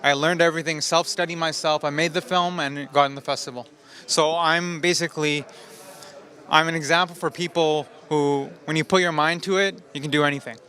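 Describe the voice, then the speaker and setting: Bright, higher-pitched man, earnest and motivational. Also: steady tone, brisk. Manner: earnest, often tags phrases with "right?". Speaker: first-time filmmaker, interview guest. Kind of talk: casual motivational interview talk.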